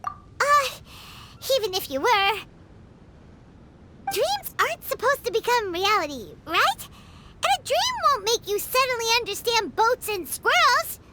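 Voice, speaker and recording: A woman voicing a tiny, excitable childlike fairy companion with a squeaky voice in an English game dub. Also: a little slow, pauses often, background noise, full character voice. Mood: puzzled. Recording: loud music underneath.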